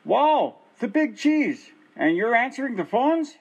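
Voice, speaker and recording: A man's voice: very high-pitched, very animated, unhurried, breathy edge, muffled recording, full character voice. A man playing a frustrated middle-aged injured worker who calls one office after another and insists on his case. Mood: exasperated. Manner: exasperated, insistent. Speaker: caller. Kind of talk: amateur radio play acting.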